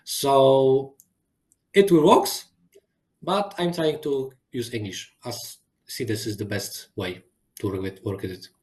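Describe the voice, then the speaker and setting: A man whose first language is Polish, speaking over a video call with halting phrasing and frequent restarts as a guest engineer in a webinar. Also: mid-range voice, a little mellow. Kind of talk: live webinar demo and Q&A.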